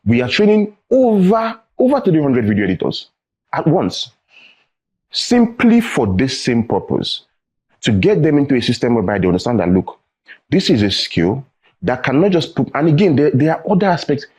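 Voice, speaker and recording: A man's voice: mid-range voice, big pitch swings, normal speed, a little mellow, slightly rough. A young adult man with a Nigerian accent, a guest in a studio interview, explaining earnestly and often asking "right?" or "you know". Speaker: tech training founder, guest.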